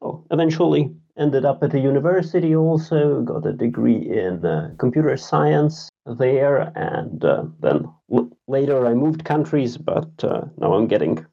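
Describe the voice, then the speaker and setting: A man in his thirties from Latvia, a game designer talking about himself in a casual podcast interview, halting and self-deprecating. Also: mid-range voice, expressive, vocal fry, warm tone, highs cut off. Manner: halting, many filler sounds, self-deprecating. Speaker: game designer and programmer, guest.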